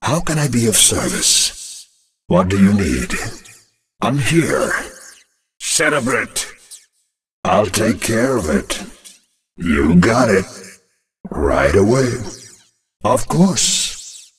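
Game officer: low, unhurried, proud and smoothly dangerous, lively intonation. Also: male voice, slight background noise. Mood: obliging. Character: smooth, menacing male special-forces officer, quietly proud. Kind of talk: video game unit voice acting.

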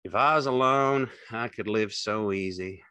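A man voicing a weary, gruff ranch hand in an audiobook, terse and resigned, with speech. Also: medium pitch, sing-song, moderate pace, no echo, pitched above the performer's own voice. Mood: wooden, resigned. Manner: terse, quiet, wooden.

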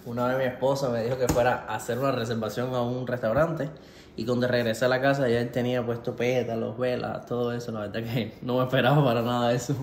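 A man's voice: medium pitch, flat delivery, fast. Young man, Cuban accent, playful, informal vlog chat, roomy kitchen echo, faint noise. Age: 20s. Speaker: cooking vlogger. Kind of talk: casual question-and-answer vlog. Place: home kitchen. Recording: echoey home kitchen, faint background noise.

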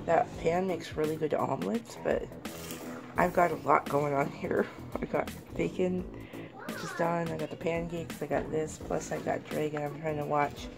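Woman in her thirties, on the low side with some fry, a little hoarse from a cold, chatting while she cooks on a kitchen-counter camera. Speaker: vlogger. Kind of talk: casual home cooking vlog. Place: small home kitchen.

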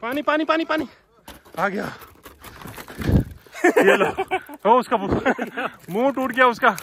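Brief: young male travel vlogger, very high voice, excitable and winded, selfie phone outdoors. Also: takes it slowly, background noise. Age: young adult. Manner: excitable, joking, breathless from hiking. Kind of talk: casual travel vlog. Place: outdoors on a mountain trail. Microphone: handheld phone camera at arm's length.

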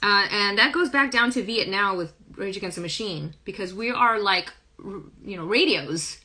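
Woman, thirties, hoarse, rambling casual talk, bright voice, phone mic. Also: mid-range voice, normal speed. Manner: chatty, rambling, hums song lyrics. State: hoarse, croaky voice. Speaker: spiritual reader. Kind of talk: casual live-stream reading. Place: small wood-paneled room, indoors. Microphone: phone camera at arm's length.

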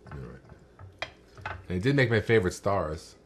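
A middle-aged man with a lowish voice chats casually about the food he is tasting. He sounds relaxed and candid, speaking from a home kitchen. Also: a little slow, slight background noise. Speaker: guest taster. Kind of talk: casual chat.

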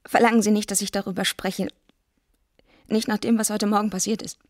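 An actress playing a tormented, despairing young woman in a radio drama, speaking in a halting, brooding way. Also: mid-range voice, flat delivery, dry recording, studio quality, lightly acted. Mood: fragile, insistent. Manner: halting, brooding, self-loathing.

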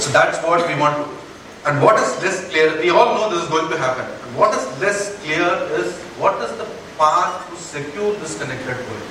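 Indian English man, 40s, earnest keynote speaker, rhetorical questions, headset mic, noisy hall. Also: fairly high pitch. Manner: earnest, rhetorical questions, frequent "right?" tags. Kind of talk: conference keynote talk. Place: large conference hall stage. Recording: echoey hall, heard from far off.

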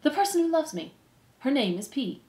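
A woman's voice: high voice, big pitch swings, a little fast, darkish tone, roomy sound, pitched above the performer's own voice. A woman voicing a small young male mouse in a storybook, innocent, earnest and polite. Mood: dreamy, lovestruck.

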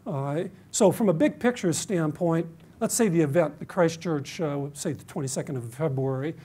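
A man's voice: fairly high pitch, moderate pace, dark tone, husky. A man in his fifties from Detroit with an American accent, giving a conference presentation with many fillers and restarts. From Detroit, USA. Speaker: university researcher giving a conference talk. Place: conference stage with dark curtains.

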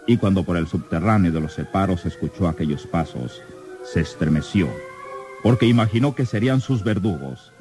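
Very deep male radio drama narrator. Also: animated, normal speed, music in the background. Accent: Mexican. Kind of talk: scripted dramatic Mexican radio serial narration.

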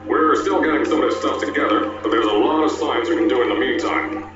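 A man playing a booming, boastful company boss. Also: fast, recorded from a distance, highs cut off, lightly acted. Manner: boastful, booming salesman pitch. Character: brash middle-aged male company founder, recorded greeting. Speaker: game character in a fan-made mod. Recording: roomy game audio with loud music.

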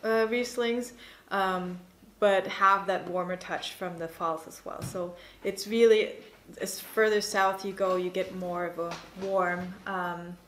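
A woman in her thirties being interviewed as a winemaker, explaining informally and pausing to think as she goes. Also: mid-range voice, fairly even intonation, a bit bright, a little hiss or hum. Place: indoor tasting room with wooden table. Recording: roomy, echoing indoor sound.

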